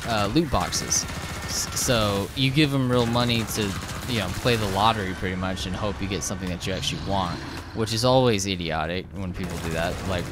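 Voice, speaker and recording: A young man, slightly hoarse, casually commenting over his gameplay, rambling with lots of fillers and restarts. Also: lowish voice, noisy background. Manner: rambling, hesitant, frequent filler words and restarts. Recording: game gunfire loud behind voice. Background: shooter game sounds and gunfire.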